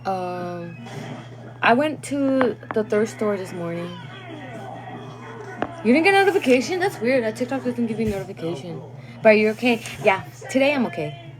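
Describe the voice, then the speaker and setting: A woman's voice: a little high, animated, moderate pace, long pauses, crowd noise, poor audio. A young woman chatting casually on a phone livestream; English is not her first language, and she laughs often and sometimes stumbles over words. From Guatemalan family.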